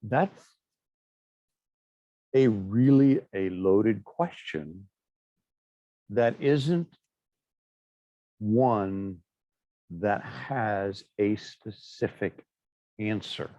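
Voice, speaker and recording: A man's voice: lowish voice, drawn-out words, pauses often, mellow, no room sound, muffled recording. A middle-aged man teaching a class in a chatty, anecdotal way, with frequent fillers and asides. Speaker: instructor. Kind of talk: classroom lecture.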